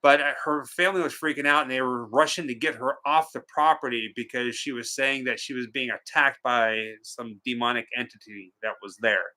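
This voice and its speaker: Bright, forceful joking man on a livestream. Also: mid-range voice, brisk, hardly any pauses, low-quality recording. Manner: joking, playfully teasing. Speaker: live stream co-host. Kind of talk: casual chat, reading out viewers' comments.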